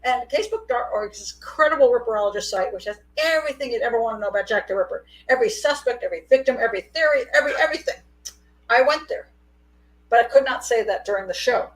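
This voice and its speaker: A middle-aged woman hosting a live call-in show, chatty and quick to laugh. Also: on the high side, rough recording. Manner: chatty, laughs often, animated. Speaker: host. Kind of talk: casual live call-in chat.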